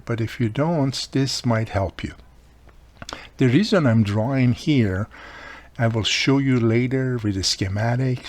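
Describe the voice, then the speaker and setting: A man with a husky, slightly low voice talks through a hands-on job step by step. His delivery is unhurried and plain-spoken, with loose, non-native-sounding grammar. He sounds like a hobbyist instructing casually. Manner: plain-spoken, instructive, often checking with "right?". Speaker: radio repair hobbyist demonstrating a restoration. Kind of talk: casual step-by-step tutorial narration.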